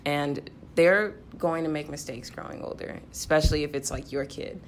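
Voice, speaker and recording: Female podcast participant. Deeper pitch, sincere, feeling out her phrasing, faint room noise. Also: normal speed. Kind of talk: reflective group conversation.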